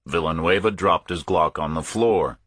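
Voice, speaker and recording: Deep, bright-voiced man narrating a thriller. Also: moderate pace, breathy edge, no room sound, clean studio sound. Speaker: audiobook narrator. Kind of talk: scripted first-person thriller narration.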